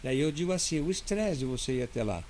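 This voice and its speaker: Brazilian lawyer explaining things patiently. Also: male voice, on the high side, big pitch swings, fast, darkish tone, slight background noise. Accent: Brazilian Portuguese. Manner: didactic, explains step by step with many "né?". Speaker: lawyer guest. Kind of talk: casual interview talk.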